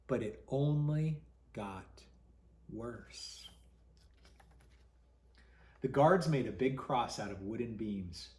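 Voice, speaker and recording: A man reading a story to children as a pastor would, dramatic and expressive, with deliberate pauses. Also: medium pitch, echoey room.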